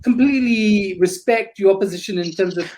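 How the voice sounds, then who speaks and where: A woman's voice: mid-range voice, moderate pace, a little mellow, a little hiss or hum. A woman theatre critic on a panel discussion, speaking thoughtfully with many restarts and fillers, over a video call.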